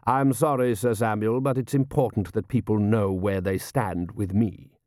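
A man voicing a coolly ruthless desert warrior with formal, measured, deadpan courtesy in an audiobook. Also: fairly low pitch, no echo, clean studio sound, lightly acted. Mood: courteous, unrepentant.

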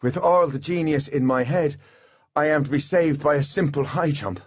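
A man's voice: fairly high pitch, moderate pace, no room sound, muffled recording, voice pushed up, lightly acted. A man voicing a composed, self-assured teenage boy genius in an audiobook, speaking formally and precisely with intensity. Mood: determined, wry. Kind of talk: audiobook character voice.

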